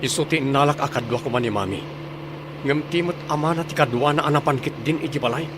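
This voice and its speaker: A man's voice: fairly high pitch, fast, lightly acted. A man playing a concerned adult son returning home to his mother in a radio drama. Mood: resolute. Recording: vehicle noise behind voice.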